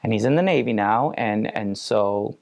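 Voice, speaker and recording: A man's voice: on the low side, normal speed, vocal fry, darkish tone. A middle-aged man telling a personal story in an interview, repeating words as he goes. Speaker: parent.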